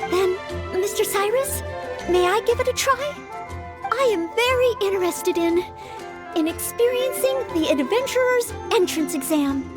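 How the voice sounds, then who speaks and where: Polite earnest young maid-knight from a video game, super high voice, bouncy pitch. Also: female voice, expressive, a little slow, over music. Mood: polite, curious. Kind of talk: scripted video game character acting.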